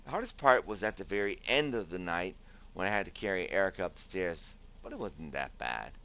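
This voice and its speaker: A man's voice: sits low, lively intonation, a little fast, bright, forceful tone, a little hiss or hum, full character voice. A man playing an easygoing, bashful young protagonist in a visual novel game, talking casually and hesitantly. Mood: relaxed. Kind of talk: visual novel game voice acting with inner narration.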